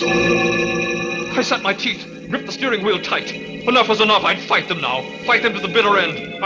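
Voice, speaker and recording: An actor playing a guilt-haunted man in a 1940s radio thriller, melodramatic and anguished, moving between hushed narration and frantic outbursts. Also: high voice, lo-fi sound. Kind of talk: 1940s radio suspense acting, first-person narration. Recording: old 1940s radio broadcast, music behind.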